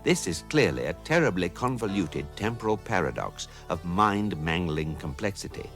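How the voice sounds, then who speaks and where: An actor playing an urbane guidebook narrator in a comic sci-fi radio drama, formal, measured and deadpan. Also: male voice, low-pitched, faint music behind, exaggerated voice. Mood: dry, formal. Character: urbane male guidebook narrator, calm, drily ironic.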